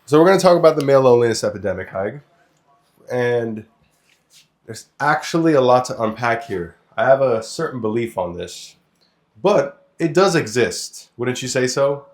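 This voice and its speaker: Young male podcast co-host from Lebanon, blunt and crude, animated storyteller who swears freely, mid-range voice. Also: medium pitch, normal speed. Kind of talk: casual opinionated podcast chat.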